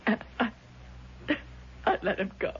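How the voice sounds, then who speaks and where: A woman's voice: unhurried, lightly acted. An actress in a vintage radio drama playing a distraught, frightened woman who pleads breathlessly to be believed. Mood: shaken, wondering. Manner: pleading, breathless, emotional repetition. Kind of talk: 1970s radio drama acting. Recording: dull, noisy vintage radio recording.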